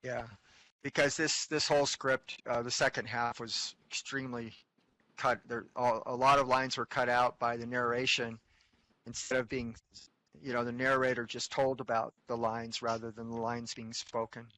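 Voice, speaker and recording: A man runs a casual script read-through and explains the plan in a halting way, working things out as he speaks. His voice has a bright, forward edge at an ordinary pitch. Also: flat delivery, rough recording. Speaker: organizer of a script reading group. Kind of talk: casual group chat.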